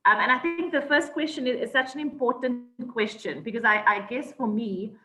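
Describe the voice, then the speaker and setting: A woman's voice: on the high side, normal speed. A South African woman telling her life story in an interview, thoughtful, with many ums and restarts. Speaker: guest. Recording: echoey, dull lo-fi sound.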